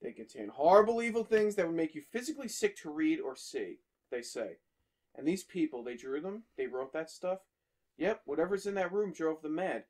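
A young man co-hosts a casual podcast and reads aloud in a slightly high voice. He swears freely and jumps into loud bursts of excitement between quieter stretches. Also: moderate pace. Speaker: podcast co-host. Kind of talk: casual banter and reading aloud.

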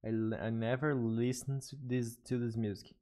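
A man's voice: mid-range voice, flat delivery, normal speed, mellow. A young man from Brazil with a Brazilian Portuguese accent, a native Portuguese speaker, chatting casually with viewers on a live stream from his room, speaking English hesitantly and often correcting himself. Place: bedroom streaming setup indoors. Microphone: studio mic on desk with headphones.

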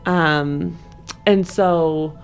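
Lower-voiced young woman chatting over music. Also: steady tone, extremely slow. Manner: informal, emphatic, frequent filler "like". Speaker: podcast panelist and tabletop game player. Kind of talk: casual discussion of a tabletop game story. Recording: music playing behind the voice. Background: background music.

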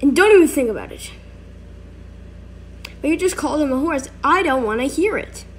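A woman's voice: high voice, lively intonation, normal speed. A woman acting out scripted dialogue as an amateur voice actor for a fan animation. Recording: noisy amateur home recording.

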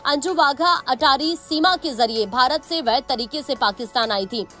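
A woman's voice: high-pitched, moderate pace, fairly bright tone. A woman with an Indian accent reading a scripted news report as narrator. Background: background music.

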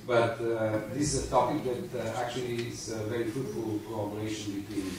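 A man in his fifties giving opening remarks at a conference, speaking haltingly with many fillers into a handheld microphone in a large hall. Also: mid-range voice, level tone. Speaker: conference opener, funding agency official. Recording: echoey hall, picked up from a distance, audible room noise.

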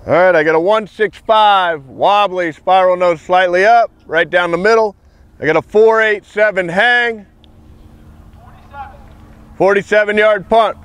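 A man timing punts on an outdoor football field, calling out hang times and distances in brisk, repetitive stat calls. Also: high-pitched, fairly bright tone, smooth. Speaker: kicking coach timing punts. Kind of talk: clipped play-by-play evaluation.

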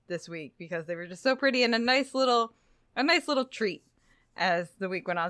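A woman's voice: mid-range voice, fairly bright tone, no room sound, clean studio sound. A woman co-hosting a book-club podcast in casual, conversational talk. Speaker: podcast co-host.

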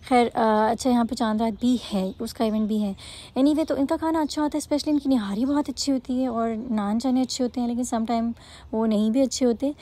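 A woman's voice: on the high side, fairly even intonation, fairly quick, warm tone. A woman in her thirties chatting casually for a family vlog, rambling with fillers and corrections, filmed on a phone in a noisy restaurant. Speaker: vlogger. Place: busy restaurant. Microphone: phone camera.

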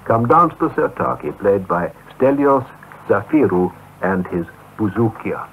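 A man hosting a radio interview in a brisk, polite manner, heard through a tinny off-air cassette recording. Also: lowish voice, expressive, creaky, a little hiss or hum.

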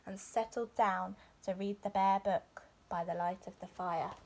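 A woman's voice: mid-range voice, steady tone, unhurried, gravelly, darkish tone. A young adult woman narrating a children's bedtime story expressively, like a teacher reading aloud indoors. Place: small furnished room indoors.

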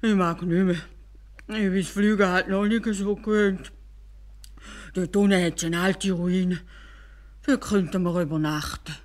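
A woman's voice: lowish voice, steady tone, measured pace, pauses often, a little mellow, close to an everyday voice. A woman voicing a timid, flustered male stork in a children's fairy tale, faltering and whiny. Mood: weary, whining.

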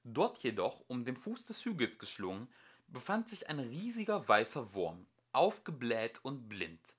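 A man reading a fairy tale aloud as an amateur audiobook narrator, with expressive storytelling. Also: mid-range voice, unhurried, slightly rough.

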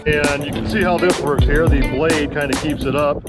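A man's voice: a little high, steady tone, normal speed, loud music behind the voice. A man casually reviewing a fishing kayak while out on the water, talking things through with lots of fillers. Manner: easygoing, lots of uh fillers, explains as he goes. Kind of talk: casual outdoor review vlog. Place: on a kayak out on open bay water.

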